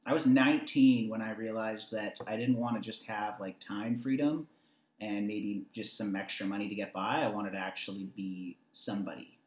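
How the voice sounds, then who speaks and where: A man's voice: fairly low pitch, fairly even intonation, moderate pace. Young man talking in an echoey room. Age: 20s. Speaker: interview guest. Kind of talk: casual conversational storytelling.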